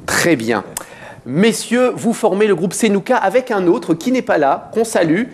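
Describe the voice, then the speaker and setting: High-voiced playful man hosting a talk show. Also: lively intonation, moderate pace, darkish tone. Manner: playful, teasing, theatrical. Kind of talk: lively chat show presenting. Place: television studio set.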